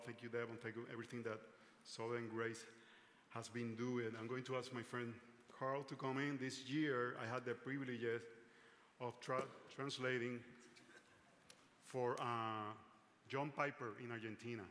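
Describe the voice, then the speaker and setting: Puerto Rican-accented preacher, bright voice. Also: male voice, mid-range voice, flat delivery, a little slow, long pauses. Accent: Puerto Rican Spanish. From Puerto Rico. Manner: joking, self-deprecating. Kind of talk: sermon with live interpretation. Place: conference hall stage. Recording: large hall reverb, faint noise.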